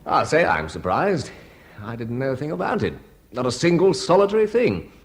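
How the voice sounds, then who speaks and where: An actor playing an anxious, politely persistent young British brother in a radio drama, hesitant and wry, with a husky voice. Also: male voice, mid-range voice, very animated, moderate pace. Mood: surprised, uneasy. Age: young adult.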